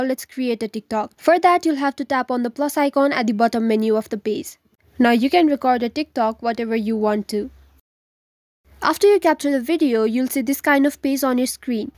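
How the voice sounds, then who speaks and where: A woman with a notably high voice narrates a how-to guide. She delivers scripted instructions in an orderly, step-by-step way. The sound is dry and close, with very little room around it. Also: darkish tone, dry recording. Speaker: tutorial host.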